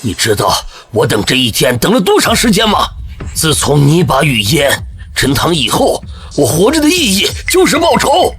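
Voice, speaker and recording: A man's voice: medium pitch, sing-song, normal speed. A man playing a vengeful, grief-stricken middle-aged father in a drama, menacing and bitter in his delivery. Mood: enraged, threatening. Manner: forceful, menacing, bitter outbursts. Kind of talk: dramatic audio drama acting.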